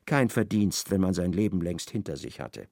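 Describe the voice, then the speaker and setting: A man narrating a literary radio play with measured, expressive storytelling. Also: sits low, vocal fry, no echo, clean studio sound.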